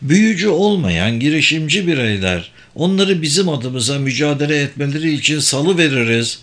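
A man's voice: fairly high pitch, expressive, normal speed, fairly bright tone. A man voicing a superior, persuasive sorcerer in an audiobook, speaking formally and insistently. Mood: explaining, assured. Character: persuasive, superior sorcerer who runs secret political games.

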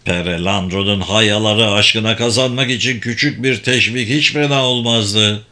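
A man's voice: lowish voice, moderate pace, sharp tone, voice pushed down. A man voicing a sardonic, distrustful young thief in an audiobook, with a sceptical, cutting delivery. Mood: distrustful, defiant.

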